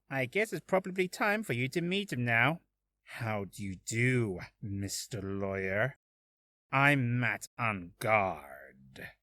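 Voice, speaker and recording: A man's voice: medium pitch, fairly bright tone, pitched below the performer's own voice, exaggerated voice. A man playing a slick young celebrity, laid-back yet arrogant, in a video game. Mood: cold, arrogant. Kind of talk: video game character acting.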